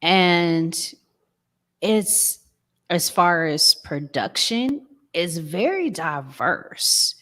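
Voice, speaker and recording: Female music reviewer, slightly low voice, very expressive pitch, emphatic casual delivery. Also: drawn-out words. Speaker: music podcast panelist.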